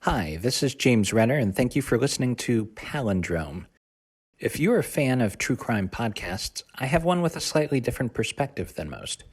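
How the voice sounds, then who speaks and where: A man's voice: mid-range voice, sing-song, moderate pace, slightly rough, dry recording. A man reading as narrator in a scripted podcast style. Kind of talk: scripted dark story narration and podcast promo read.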